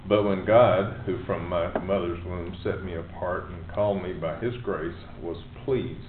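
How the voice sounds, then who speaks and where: Deep-voiced man reading from afar. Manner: steady reading aloud. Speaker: scripture reader in a Bible study. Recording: distant, echoey, tinny line with background noise.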